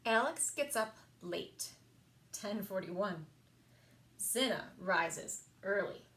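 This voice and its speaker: A woman reading picture books aloud for a children's story time, expressive and sing-song, with emphasized words. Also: medium pitch, slow. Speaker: library story time reader.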